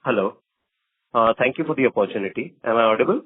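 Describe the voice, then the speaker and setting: A man's voice: mid-range voice, quick pace, husky, no echo. A man with an Indian accent politely asks questions as an analyst calling into an earnings call. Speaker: analyst caller. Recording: dry narrow phone line.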